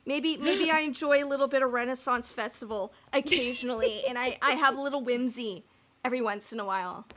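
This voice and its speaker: A woman's voice: high voice, brisk, a bit bright. A woman co-hosting a podcast in a casual fan discussion, talking in a playful, rambling, informal way. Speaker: podcast co-host.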